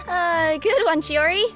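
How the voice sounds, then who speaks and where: A woman's voice: very high voice, full character voice. A woman voicing a sweet, modest young cat-spirit courier girl in a video game, earnest and bubbly. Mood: worried, sheepish.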